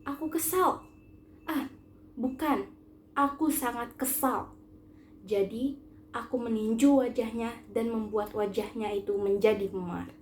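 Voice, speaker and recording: A teenage girl, a student reading a short story aloud in an expressive, earnest first-person style. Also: fairly high pitch, unhurried, long pauses, echoey room, a little hiss or hum. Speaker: student narrator. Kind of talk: scripted short story narration, read aloud.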